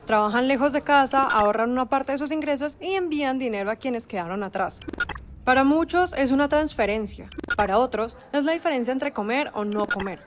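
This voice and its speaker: A woman narrating a documentary script in a measured, dramatic storytelling cadence. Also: sits high, lively intonation, background noise.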